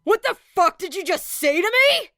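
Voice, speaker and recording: A woman's voice: extremely high pitch, very animated, normal speed, bright, forceful tone, dry recording, clean studio sound, pitched above the performer's own voice, heavily acted. A woman voicing a hot-tempered, aggressive teenage bully who snarls and barks in confrontation. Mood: furious. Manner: barking, confrontational.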